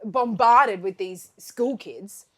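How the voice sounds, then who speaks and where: A woman's voice: mid-range voice, slow pace. A young woman with an Australian accent chatting casually as a podcast co-host, telling travel stories in a giggly, slangy way. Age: young adult. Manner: giggly, animated, slangy, acts out quotes. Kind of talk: casual chat, travel storytelling.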